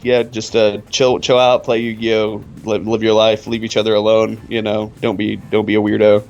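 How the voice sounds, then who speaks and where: A man's voice: lowish voice, monotone, moderate pace, darkish tone, dull audio, faint music behind. A man in his twenties, a guest in a casual online interview, talking in a laid-back, rambling way and laughing often. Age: 20s. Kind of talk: casual chat.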